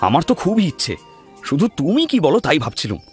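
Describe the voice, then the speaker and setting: A man's voice: a little high, wildly swooping pitch, quick pace, faint music behind. A man playing an eager, inquisitive young doctor in an audio drama, talking in a conversational way. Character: eager, questioning young male doctor and adventurer. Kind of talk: dramatized audio story dialogue.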